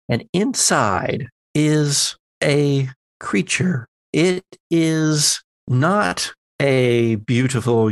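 Slow, deliberate man narrating tabletop horror. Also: mid-range voice, vocal fry, dry recording. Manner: deliberate, descriptive, halting pauses. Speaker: game master.

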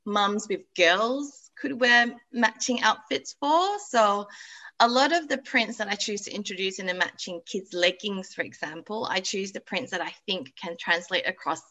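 Bright-voiced woman from Malaysia. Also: medium pitch, normal speed. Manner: chatty, laughing often, with filler ums. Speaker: guest, activewear business founder. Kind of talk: podcast interview chat.

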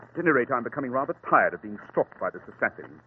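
A man acting a commanding detective from an old radio play, rapid and very animated. His diction is clipped and precise, and he sounds sure of himself. The recording is thin and muffled. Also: medium pitch, a little hiss or hum, lightly acted. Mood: confident, lively. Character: sharp, confident, commanding male detective.

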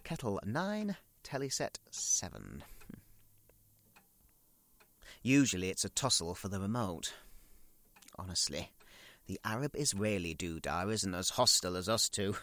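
A man's voice: sits low, sing-song, normal speed, fairly bright tone, no echo, full character voice. A man playing a fussy, self-pitying grown son in an audio drama monologue, chattily grumbling and rambling in darkly comic asides.

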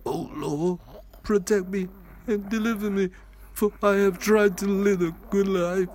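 A man's voice: high voice, fairly even intonation, unhurried, a little mellow. An actor playing a terrified man pleading for his life in a horror radio play. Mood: desperate pleading. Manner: pleading, frightened.

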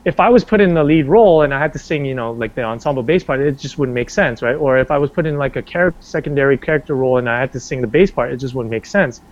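A man's voice: fast talker, hardly any pauses. A man, an actor being interviewed, talking casually in a rambling way with lots of fillers and restarts. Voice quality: bass singing voice. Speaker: stage and screen actor, interview guest. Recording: dull lo-fi sound.

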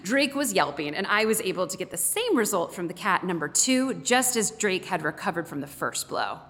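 Animated woman reading a story in a hall. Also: lowish voice, moderate pace. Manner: animated, dramatic emphasis. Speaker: guest reader of an author's story. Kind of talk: expressive read-aloud storytelling in first person as an animal. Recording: long hall reverb.

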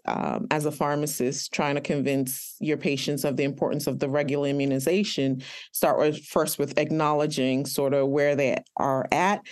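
A woman pharmacist and teacher answering interview questions in a measured way, often pausing on "um". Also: sits low, darkish tone. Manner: measured, many "um" pauses. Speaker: pharmacist and educator.

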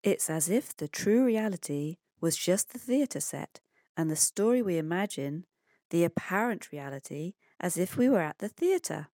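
A woman voicing a calm, understanding father in a children's story, gently reassuring. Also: lowish voice, normal speed, no room sound, clean studio sound. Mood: explaining, playful.

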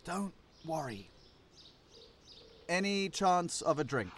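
A man acts an awkward grown-up son in an audio drama, dry and weary. His voice sits fairly high and moves slowly, with faint noise and birdsong behind it. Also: big pitch swings, a bit bright, exaggerated voice. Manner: dry, halting, apologetic. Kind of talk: audio play acting.

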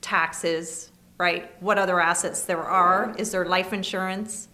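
Lady lawyer on a podcast, calm, lists stuff off one by one. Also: on the low side. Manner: measured, explains by listing points. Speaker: lawyer guest. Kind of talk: interview answers. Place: studio table.

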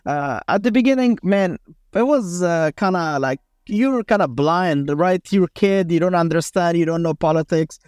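A man in his thirties with an Afghan accent talks in halting bursts, full of fillers and restarts. His voice sits a little high and comes through smooth and clear, recorded close and dry. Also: lively intonation, darkish tone, dry recording. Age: 30s. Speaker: guest, former special forces commander. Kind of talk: casual interview talk.

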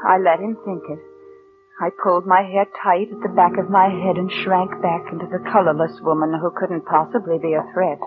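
An American actress playing a cold, calculating murderess who passes as meek, in controlled, deliberate mid-century radio suspense style. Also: female voice, on the low side, level tone, music in the background, close to an everyday voice. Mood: cold, confiding. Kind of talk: 1940s-50s American radio suspense acting and narration. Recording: muffled vintage radio recording.

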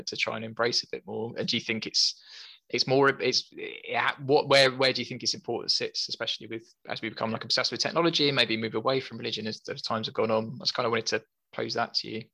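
A male interviewer asks long, rambling questions, pausing and restarting as he searches for the right words. His voice is gravelly with plenty of fry, yet bright and a little tense. His pitch is ordinary for a man. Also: flat delivery.

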